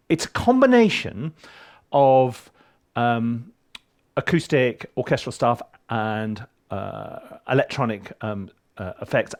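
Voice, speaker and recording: A middle-aged man, a composer walking through his music session in a casual, rambling way with many ums and restarts. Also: fairly low pitch. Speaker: composer teaching film scoring. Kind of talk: casual screen-share walkthrough. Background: music from his session playing faintly.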